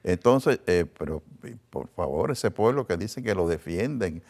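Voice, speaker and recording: A man, a television producer and guest, speaking earnestly with halting restarts. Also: sits low, lively intonation, a little mellow. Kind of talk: casual conversation.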